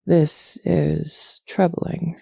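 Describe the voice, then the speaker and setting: A woman playing a calm, motherly alien matriarch in an audio roleplay, measured and soothing, tender but gently stern. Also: sits low, vocal fry, breathy edge, dry recording, full character voice. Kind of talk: soft audio roleplay acting.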